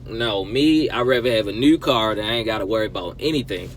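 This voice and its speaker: A man's voice: lowish voice, fairly quick. A man casually telling a story as a car vlogger, conversational and emphatic, breaking his talk into short phrases. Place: inside a car while driving. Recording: loud road noise inside a car. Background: car and road noise.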